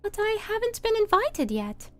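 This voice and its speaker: Earnest, well-mannered storybook girl a woman acting, curious and a little timid, bright lift in pitch, music behind. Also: big pitch swings, moderate pace, dry recording, full character voice. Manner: high, earnest, polite questioning. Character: polite, curious, slightly timid young girl.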